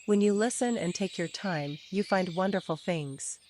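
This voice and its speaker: Lowish-voiced woman narrating a bedtime story. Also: moderate pace, darkish tone, a little hiss or hum. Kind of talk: scripted children's story narration. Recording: faint birdsong and nature ambience. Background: birdsong, crickets, forest ambience.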